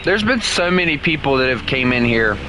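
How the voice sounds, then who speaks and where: A man's voice: mid-range voice, big pitch swings, fairly quick, background noise. A young man streaming a game, chatting and teasing viewers in a joking way that flares into exasperation. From Southern United States. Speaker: gaming streamer. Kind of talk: casual live-stream chat with viewers.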